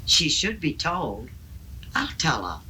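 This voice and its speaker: A woman's voice: low voice, sing-song, slow pace, bright, forceful tone, slight background noise, exaggerated voice. A woman playing a kindly, solicitous Victorian neighbour in a 1970s radio drama, with a sympathetic, theatrical manner. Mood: sympathetic, soothing.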